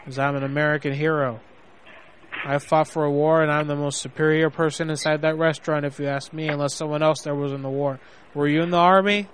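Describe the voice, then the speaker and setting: A man's voice: a little high, flat delivery, a little fast, slight background noise, natural voice. A man playing an indignant caller who poses as an army veteran in a prank phone call. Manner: indignant, blustering, self-righteous. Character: angry man posing as a boastful army veteran.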